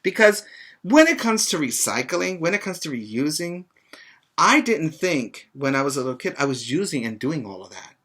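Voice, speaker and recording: A middle-aged man, a preschool teacher, reading and explaining to young children in a simple, expressive way with short asides. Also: on the high side, normal speed. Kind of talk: reading a children's book aloud and chatting to young pupils. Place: small room, indoors. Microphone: webcam or laptop mic at arm's length.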